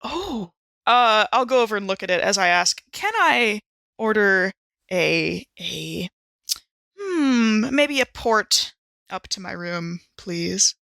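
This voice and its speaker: A woman playing a tabletop roleplaying game in casual chat, playfully thinking aloud with halting phrases. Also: medium pitch, big pitch swings, creaky, pushed and bright, dry recording, studio quality. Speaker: player in an actual-play game show.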